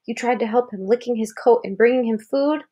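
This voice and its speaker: An American woman with a fairly high voice reads a children's article aloud. She tells it expressively, like a parent to a child. She breaks from the text to explain things simply and ask questions. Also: lo-fi sound. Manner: expressive storytelling, pausing to check understanding with questions.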